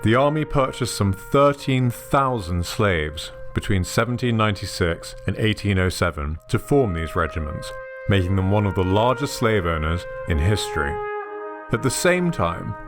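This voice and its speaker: A man giving measured, scripted documentary narration. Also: lowish voice. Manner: measured, even documentary delivery. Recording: soft music underneath.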